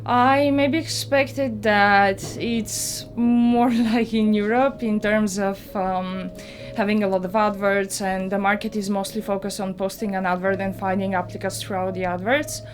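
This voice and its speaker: A woman's voice: mid-range voice, steady tone, measured pace. A woman from Bulgaria with a Bulgarian accent, giving a personal account in a documentary interview. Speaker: job seeker interviewee. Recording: music playing behind the voice.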